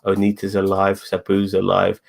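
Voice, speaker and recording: A man with a fairly low pitch and plenty of fry in his voice talks casually as a co-host. He rambles, repeats his phrases and drops swear words as he goes. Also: completely flat delivery, normal speed. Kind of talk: casual wrestling review chat.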